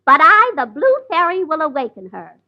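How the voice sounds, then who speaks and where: A woman's voice: sits high, very animated, normal speed, bright, forceful tone, full character voice. A woman playing a brassy, wisecracking female Blue Fairy who speaks in sing-song rhymes, in 1940s radio comedy. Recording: dull 1940s radio broadcast.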